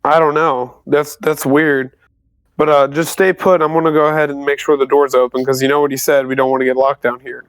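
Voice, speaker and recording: A man playing a tabletop game in a casual, relaxed way, swearing now and then. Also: medium pitch, fairly quick, clean tone, dry recording, low-quality recording. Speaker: player.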